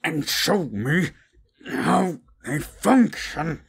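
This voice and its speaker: A man voicing a possessive male monster of the forest in an audio drama, curious and commanding, with slow, drawn-out delivery. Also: fairly high pitch, expressive, vocal fry, husky, pitched above the performer's own voice, heavily acted. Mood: demanding, curious. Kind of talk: audio roleplay acting.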